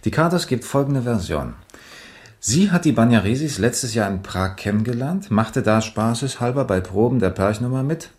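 An actor playing a calm, methodical police major in a radio drama, measured and matter-of-fact with a dry weariness. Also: male voice, mid-range voice, close to an everyday voice. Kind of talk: 1980s German radio drama acting.

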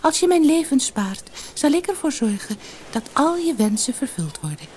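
A woman voicing a small, polite magical golden fish in a storybook, gentle and obliging. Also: high voice, very animated, normal speed, pitched above the performer's own voice. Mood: pleading. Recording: noisy old cassette audiobook.

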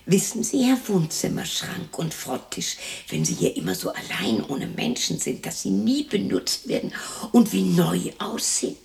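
A woman's voice: fairly low pitch, sing-song, normal speed, gravelly, a little mellow, slightly rough, full character voice. An actress playing a lonely widow in her sixties who mutters to herself in a radio drama. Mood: restless. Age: 60s. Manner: muttering to herself, shifting between musing and scolding. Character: lonely sixty-year-old widow talking to herself and imagined family.

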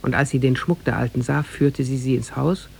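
Creaky-voiced male radio storyteller. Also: medium pitch, steady tone, a little hiss or hum. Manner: measured, formal. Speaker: narrator. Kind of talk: scripted storytelling narration, 1950s radio.

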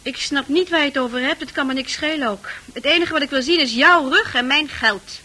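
An actress in a Dutch radio play playing a scornful, proud prostitute with a brash, mocking manner. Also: female voice, high-pitched, brisk, bright, forceful tone. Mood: scornful, impatient. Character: hard, scornful prostitute, proud, wounded underneath.

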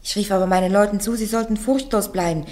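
A woman's voice: mid-range voice, steady tone, quick pace, a little mellow, lightly acted. An actress playing a young peasant girl soldier on trial, answering bluntly and defiantly in a classic radio play. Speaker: accused on trial.

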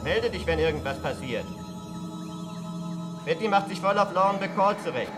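An actor playing a brisk, businesslike male colleague giving clipped orders in a science fiction radio play. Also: high-pitched, animated. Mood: brisk, commanding. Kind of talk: 1970s German radio drama acting. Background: electronic music.

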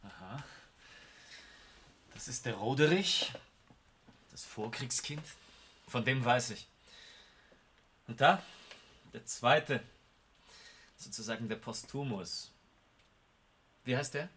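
An actor playing an embittered, restless war veteran, emphatic and formal, in a radio play. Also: male voice, medium pitch, takes it slowly, pauses often, fairly bright tone, a little hiss or hum. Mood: curious, intent. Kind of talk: German radio play acting.

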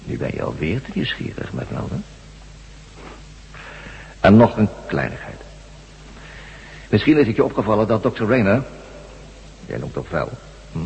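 An actor playing a mysterious, commanding armed stranger in a radio drama, terse, evasive and coolly menacing. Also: male voice, lowish voice, vocal fry, darkish tone, heavily acted. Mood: smug threat. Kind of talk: Dutch radio drama acting. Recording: hum and noise, long reverb.

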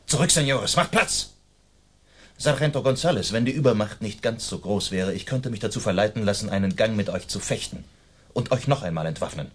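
A bold masked outlaw hero, lowish voice, mocking n courtly, gives orders, radio play style. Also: male voice, lively intonation, brisk, fairly bright tone, husky. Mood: commanding. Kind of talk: German radio play acting.

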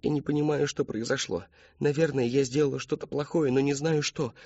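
A man voicing a frightened, tearful teenage daughter in an audiobook, pleading and halting in a thin, trembling voice. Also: mid-range voice, fairly even intonation, a little mellow, no echo, muffled recording, voice pushed up, close to an everyday voice.

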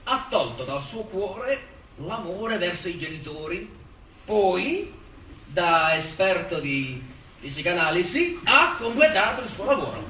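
Stage courtroom drama: pious, contemptuous prosecutor, forceful declamation with deliberate pauses, distant roomy recording. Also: male voice, sits high, big pitch swings, slight background noise, heavily acted. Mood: indignant, intense. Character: vehement moralizing male prosecutor, contemptuous and pious. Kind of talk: theatrical courtroom oration.

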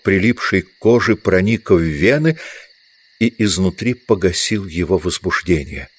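Deep male narrator reading a novel, scripted audiobook style.

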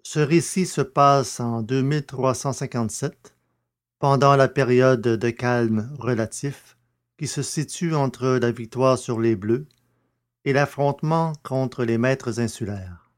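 A man's voice: medium pitch, takes it slowly. A man hosting a podcast and reading scripted audiobook narration in French.

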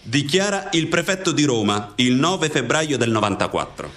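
Male narrator for investigative radio theatre, medium pitch, animated, emphatic, formal. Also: moderate pace. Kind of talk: scripted documentary radio drama narration.

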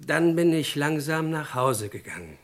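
A man's voice: fairly high pitch, very animated, moderate pace. A man playing an indignant, cornered sales director under suspicion, formal and defensive, in a 1960s German radio crime play. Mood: defensive, insistent. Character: cornered male sales director, indignant suspect denying everything.

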